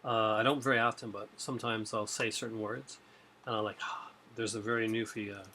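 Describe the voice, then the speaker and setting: A man's voice: lowish voice, little pitch movement, brisk, pushed and bright, slight background noise. A middle-aged man with a light Newfoundland accent, chatting casually and rambling about his purchases with many fillers, filmed by webcam at home. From Newfoundland, Canada. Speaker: video host. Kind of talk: casual chat showing purchases. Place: home room with shelves and a TV.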